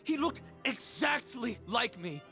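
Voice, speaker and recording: A man's voice: very high voice, expressive, takes it slowly, creaky, full character voice. An actor playing a frightened, insistent male prison inmate in a video game, pleading and agitated. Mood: panicked, pleading. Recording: background music under the voice.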